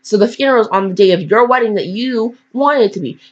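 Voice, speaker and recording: A woman's voice: medium pitch, fairly quick. Woman in a dull, lo-fi recording, rambling bluntly. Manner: slangy, blunt, digressive, mock-scolding. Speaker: host reading fan fiction aloud. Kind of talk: casual reading with rambling commentary.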